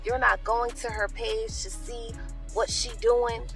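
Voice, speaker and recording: A woman's voice: a little high, little pitch movement, measured pace, a bit bright, faint music behind. A woman explaining her view in a casual, conversational monologue, checking in with the listener. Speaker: clip speaker.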